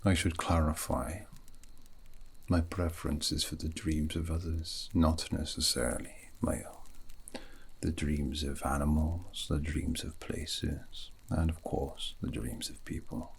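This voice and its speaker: A man voicing a wistful, scholarly elf mage in a soft, breathy, half-whispered ASMR roleplay, speaking slowly and reflectively with long pauses. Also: rumbling low, fairly even intonation, slight background noise, exaggerated voice. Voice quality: breathy, gravelly, often slipping into whisper.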